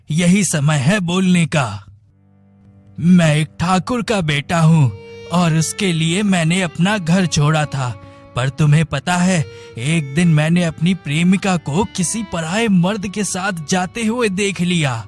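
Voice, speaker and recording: A man voicing a cunning blind schemer who puts on a plaintive, melodramatic manner in an animated story. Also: fairly high pitch, takes it slowly, faint music behind. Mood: wistful. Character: scheming blind man feigning helpless, wronged innocence. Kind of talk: animated moral story dialogue.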